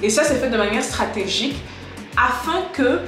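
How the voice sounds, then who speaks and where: Woman, teacher, lively and direct, fairly high voice, echoey room, faint music behind. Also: normal speed. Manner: lively, direct, addresses viewers as "les gars"; switches into English example sentences. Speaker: English teacher on a video channel. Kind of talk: casual spoken lesson to camera.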